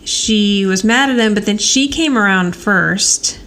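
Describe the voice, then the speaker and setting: A woman's voice: medium pitch, measured pace, fairly bright tone. A woman co-hosting a podcast in a casual, chatty way. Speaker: podcast co-host. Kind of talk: casual chat. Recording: faint background noise.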